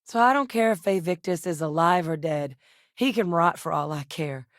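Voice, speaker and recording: An actress playing a composed, earnest, duty-minded woman scientist in a space video game, speaking in a measured, articulate way. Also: fairly low pitch, no room sound, studio quality, lightly acted. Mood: serious. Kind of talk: scripted game character dialogue.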